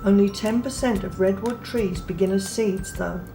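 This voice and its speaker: A woman reading a children's picture book aloud in a gentle, unhurried way, pausing softly between phrases. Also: mid-range voice, poor audio. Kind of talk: read-aloud storybook narration. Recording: read over clear background music.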